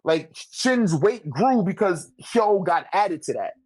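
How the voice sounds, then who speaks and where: A man's voice: high voice, expressive, slow, dry recording. Guy in a casual debate, slangy, keeps checking you get him, dry sound. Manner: informal, slangy, argumentative; repeatedly checks for agreement. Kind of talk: casual debate about a manga ranking.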